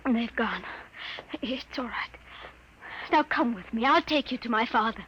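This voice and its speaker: A woman's voice: on the high side, expressive, unhurried, fairly bright tone, lo-fi sound. A woman acting an anxious, proud young woman in a 1950s radio drama. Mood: urgent. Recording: faintly noisy old radio broadcast.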